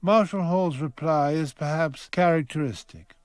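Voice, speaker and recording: A man's voice: fairly high pitch, normal speed, a little mellow, smooth, no echo, clean studio sound. A man presenting a radio drama with scripted, storytelling narration.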